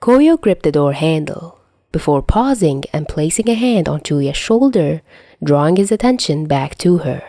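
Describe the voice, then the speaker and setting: A woman reads fiction like an audiobook, with a fairly low, mellow voice. The delivery is expressive and moves widely in pitch. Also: measured pace, dry recording, studio quality. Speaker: podfic narrator. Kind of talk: expressive audiobook-style story narration.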